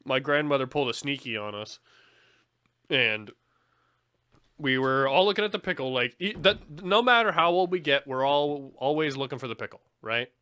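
A man co-hosting a casual podcast, telling stories in an animated way and swearing freely. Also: mid-range voice, normal speed, smooth. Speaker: podcast co-host.

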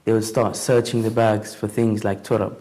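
A man's voice: fairly low pitch, little pitch movement, normal speed, mellow. A young man of Iraqi and Lebanese heritage, interviewed in a studio, earnestly recalling what he had heard. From Iraq and Lebanon. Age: young adult. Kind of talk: casual recollection. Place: dark studio.

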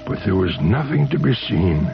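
A gravelly, troubled husband. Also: male voice, lowish voice, very animated, over loud music. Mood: weary, confessing. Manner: earnest, agitated, halting. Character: troubled chemical engineer, desperate devoted older husband. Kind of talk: 1970s radio drama acting. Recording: muffled 1970s radio recording.

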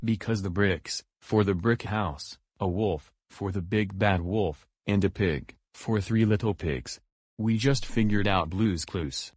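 A man's voice: fairly low pitch, takes it slowly, a little mellow, husky, no room sound, clean studio sound. A man voicing a friendly, patient purple dinosaur who hosts a children's cartoon show and explains things simply. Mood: puzzling, encouraging. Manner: cheerful, patient, addressing child viewers.

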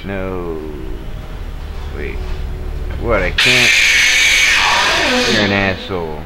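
A man's voice: deep voice, drawn-out words, very noisy. A man giving casual gameplay commentary, muttering to himself as he plays a video game. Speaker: gaming video host. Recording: game audio loud behind the voice. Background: loud video game music and sounds.

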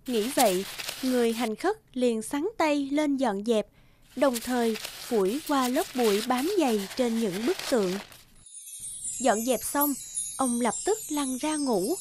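A woman's voice: fairly high pitch, unhurried, over music. A woman narrator reading a scripted fairy tale for a cartoon.

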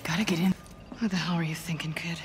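A woman's voice: on the low side, fairly even intonation, fast talker, lightly acted. An actress playing a tough, dry-witted female spy hero in a video game cutscene, clipped in manner. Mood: muttering, tense. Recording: music behind the voice.

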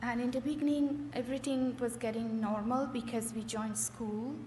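Higher-voiced middle-aged woman, very echoey hall. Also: fairly even intonation, moderate pace, warm tone. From Afghanistan. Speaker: church member giving testimony. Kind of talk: personal testimony in short interpreted phrases. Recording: echoey hall with faint noise.

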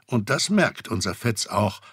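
A man's voice: on the low side, sing-song, measured pace, fairly bright tone, husky, dry recording, clean studio sound. A man narrating a scripted story for a children's audio drama.

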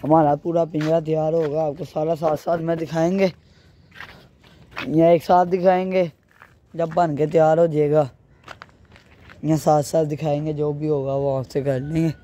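A young man with a hoarse throat talks casually to his phone camera outdoors on a rooftop, rambling as he points things out. His voice sits a bit high and mellow, with little rise and fall. Faint background noise runs underneath. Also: little pitch movement, moderate pace, pauses often, lo-fi sound. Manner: chatty, loosely rambling while showing things. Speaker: vlogger. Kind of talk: casual vlog chat. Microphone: handheld phone.

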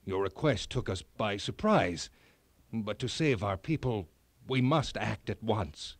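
Earnest monarch for a storybook, performed by a man, declamatory and devoted, animated intonation, dry sound. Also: lowish voice, unhurried, no echo, voice pushed down. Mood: solemn, resolute. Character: earnest, noble king, devoted to his people. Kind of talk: children's fairy tale read aloud.

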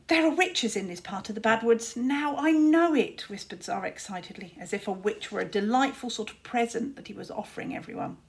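Expressive woman reading a storybook. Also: a little high. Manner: deliberate, dramatic emphasis. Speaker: teacher reading to pupils. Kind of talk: expressive children's storybook narration. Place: small room indoors.

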